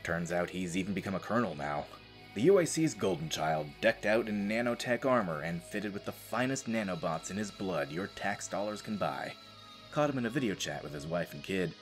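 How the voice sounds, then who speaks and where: A man acting a world-weary, deadpan space marine reduced to janitor, telling his story as dramatic audio drama narration. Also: sits low. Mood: wry, reflective. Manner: sardonic, deadpan, brooding. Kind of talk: dramatic first-person scripted narration.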